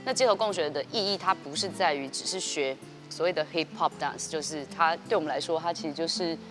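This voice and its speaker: Low-voiced taiwanese woman, 30s, talks fast, explaining her project. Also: music in the background. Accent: Taiwanese Mandarin. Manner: fluent, measured explaining with fillers. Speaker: guest, dance company artistic director. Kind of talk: interview answer. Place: indoor TV studio set.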